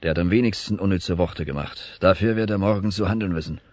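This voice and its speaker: An actor playing a weary, authoritative and devout army captain, grave and commanding in a radio play. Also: male voice, low-pitched, quick pace, a little mellow, no echo, lo-fi sound. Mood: stern, impatient.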